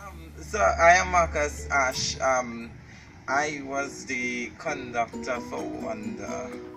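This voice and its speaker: A man's voice: mid-range voice, slow, creaky, music in the background. A man who was the conductor, speaking hesitantly with dry humor in an interview. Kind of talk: casual interview. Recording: distant mic.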